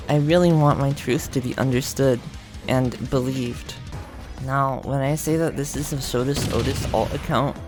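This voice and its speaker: Deadpan gravelly dude doing a fake-serious confession, halting, faint music under it. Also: male voice, mid-range voice. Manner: deadpan, mock-earnest, halting. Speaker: gaming video creator. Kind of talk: mock confession comedy monologue.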